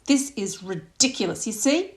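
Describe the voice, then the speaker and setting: A woman voicing a smooth, self-pitying young man in an audiobook, indignant and self-justifying. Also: fairly high pitch, big pitch swings, normal speed, pitched above the performer's own voice. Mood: offended.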